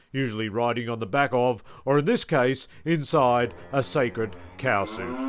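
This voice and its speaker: A man voicing a solemn, deadpan news reader in a parody bulletin for a radio comedy, measured and formal. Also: mid-range voice, dry recording, natural voice. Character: solemn male TV news reader, deadpan about absurd crime.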